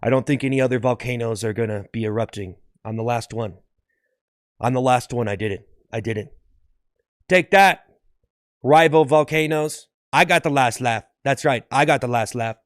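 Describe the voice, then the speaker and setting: A man's voice: medium pitch, no echo, studio quality, pitched below the performer's own voice, natural voice. A man voicing a spiteful, smug volcano in a comedy skit, gloating over its rivals and repeating itself. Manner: smug, gloating, slow and repetitive. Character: gloating, spiteful volcano having its last laugh.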